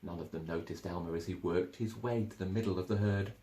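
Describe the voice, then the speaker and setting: A man in his thirties giving an expressive narration of a children's storybook. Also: sits low, fairly quick, a little hiss or hum. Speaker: storybook reader. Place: small living room, indoors. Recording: echoey living room.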